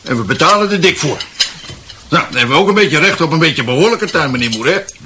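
A man's voice: fairly high pitch, lively intonation, fast talker, lightly acted. A male actor playing a blunt, pompous, tipsy dinner guest in a radio drama, ranting and repeating himself, with dishes clattering. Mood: indignant ranting. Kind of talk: Dutch radio drama acting. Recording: noisy, clattering cutlery and dishes.

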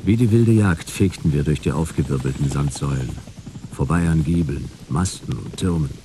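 An actor playing a laconic hard-boiled detective, narrating a German sci-fi radio play in clipped phrases. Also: male voice, a bass voice, normal speed, noisy background. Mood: tense, laconic.

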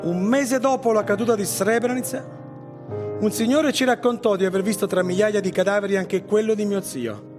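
A man performing a haunted, grieving genocide survivor in a measured, intense radio-theatre monologue with pauses. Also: sits high, natural voice. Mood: mournful. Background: live music under the voice.

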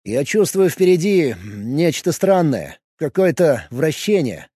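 An obliging, unearthly emissary being, as a male reader voices him, speaking plainly and calmly in a mellow tone. The sound is dry and close. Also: a little high, slow pace, husky, no room sound, exaggerated voice.

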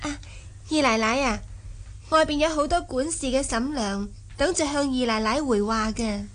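A woman's voice: high-pitched, normal speed, fairly bright tone. A woman playing a deferential, efficient young maid of a rich household in a Cantonese radio drama. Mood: dutiful.

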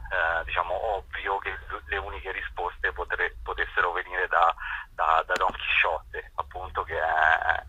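A man interviewed on radio, reflective and halting, with many false starts. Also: sits low, bright, forceful tone, slightly rough, lo-fi sound. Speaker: author and actor interviewed as guest.

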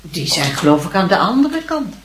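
A woman's voice: lowish voice, sing-song, normal speed, background noise. A woman playing a kindly, fussing aunt in a radio play. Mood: patient. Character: kindly, fussing aunt looking after her young nephew.

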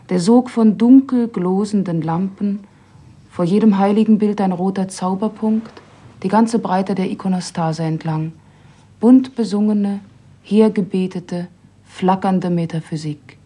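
A woman's voice: mid-range voice, unhurried, mellow, breathy edge. A woman reading poetic, scripted literary prose. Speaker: reader of literary texts.

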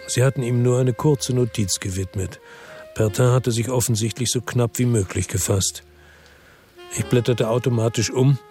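An actor playing a weary, brooding middle-aged narrator in a radio drama, speaking in a somber, measured, reflective way. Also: male voice, mid-range voice, darkish tone, natural voice. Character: weary, brooding middle-aged male first-person narrator, world-worn investigator.

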